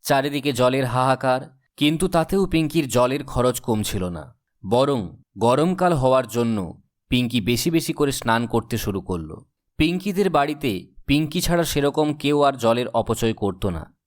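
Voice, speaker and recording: A man's voice: medium pitch, expressive, normal speed. A man reading scripted storybook narration as the narrator of a cartoon tale.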